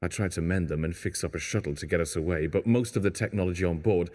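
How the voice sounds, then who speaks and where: A man plays a world-weary castaway engineer in an audio drama. His low voice is grave and pessimistic, a little husky, and rings with long reverb. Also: fairly even intonation, quick pace, darkish tone. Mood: resigned.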